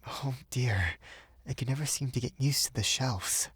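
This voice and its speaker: A man voicing an anxious young woman who speaks softly and pleads with a trembling voice. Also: on the high side, animated, measured pace, creaky, no echo, clean studio sound, voice pushed up. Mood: pleading.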